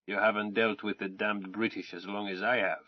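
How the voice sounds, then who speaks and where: A man's voice: lowish voice, flat delivery, moderate pace, no room sound, close to an everyday voice. A man voicing an arrogant, blustering older colonel, speaking in a pompous, commanding way. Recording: dull, dry sound.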